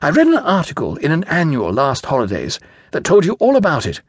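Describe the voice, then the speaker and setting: A man's voice: fairly high pitch, wildly swooping pitch, fairly quick, slightly rough, voice pushed up, full character voice. A man voicing an eager, impulsive eleven-year-old British schoolboy, enthusiastic and insistent in a children's audiobook. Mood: eager, explaining.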